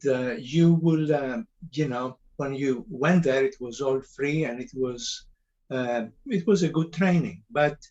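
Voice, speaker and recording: Older male panelist, Greek accent, muffled lo-fi sound, halting informal storytelling, medium pitch. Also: a little mellow. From Greece. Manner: hesitant, halting phrasing. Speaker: panelist, cancer center director. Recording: dull, lo-fi call audio.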